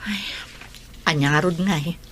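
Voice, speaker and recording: Strict, stubborn, bright-voiced mother. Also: deep voice, unhurried, a little hiss or hum. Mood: resigned. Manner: stern, curt, insistent. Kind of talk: radio drama acting.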